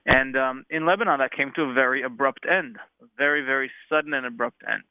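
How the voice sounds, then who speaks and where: An earnest man in his thirties with an American accent tells his story slowly and reflectively, pausing on fillers and restarting his sentences. His voice comes through a thin, phone-like line with no room sound and sits at an ordinary pitch for a man. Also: steady tone, smooth, no echo. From Israel, raised in the United States. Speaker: interview guest, musician. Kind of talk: casual interview storytelling.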